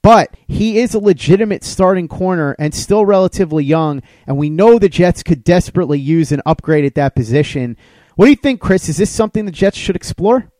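Male sports podcast host, fairly high-pitched, interview style, very dry recording. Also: expressive, brisk, a little mellow, dry recording.

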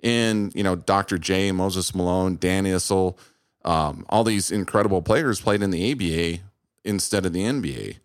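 A man with a fairly low voice, recorded very dry with no room sound. He talks casually as an interviewer, conversational in his questions and comments. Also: normal speed, no echo.

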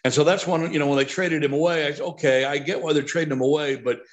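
Opinionated man with a higher-pitched voice. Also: steady tone, fast. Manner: opinionated, emphatic, rhetorical questions. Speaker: sports announcer and podcast guest pundit. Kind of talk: sports podcast discussion.

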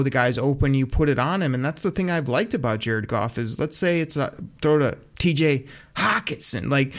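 A man with an ordinary mid-range voice co-hosts a casual sports chat. He talks in a loose, opinionated way and often pauses on fillers. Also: mid-range voice. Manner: relaxed, opinionated, many fillers. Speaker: podcast co-host.

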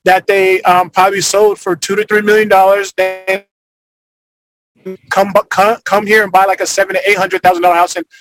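A man's voice: sits high, flat delivery, fairly quick, a bit bright, faint music behind, poor audio. A man from Arizona, a former football player, talking casually as a podcast guest, rambling with lots of fillers and restarts. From Arizona, United States.

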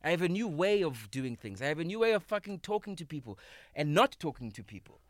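Man, thirties, fairly high voice, animated and emphatic, dry close studio mic. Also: fast talker, dry recording. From South Africa. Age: 30s. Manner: animated, emphatic, swears freely, repeats words. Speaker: podcast guest, comedian. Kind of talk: casual podcast conversation. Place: podcast studio. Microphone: studio microphone.

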